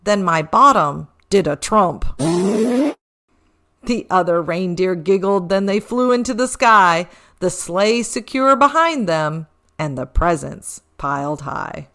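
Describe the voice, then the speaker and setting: A woman reading a rhyming children's story expressively, with a measured, singsong rhythm. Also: fairly low pitch, lively intonation, fairly bright tone.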